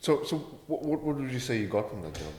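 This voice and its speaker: A man's voice: mid-range voice, big pitch swings, fast talker, darkish tone. A man who grew up in India, hosting an interview and asking questions in an encouraging way with frequent short acknowledgements. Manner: encouraging, lots of short backchannel. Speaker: podcast host. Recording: echoey room with long reverb.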